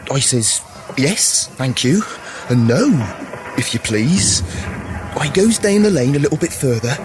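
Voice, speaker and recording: A man's voice: mid-range voice, big pitch swings, unhurried, music in the background. A man playing a wry, haunted country soldier reciting folk-play verse, in a radio play. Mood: mounting frenzy. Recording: noisy radio drama recording.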